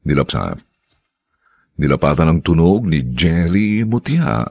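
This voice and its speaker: Deep gravelly radio announcer man. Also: sing-song, a little mellow. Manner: measured, formal. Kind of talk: formal on-air closing credits.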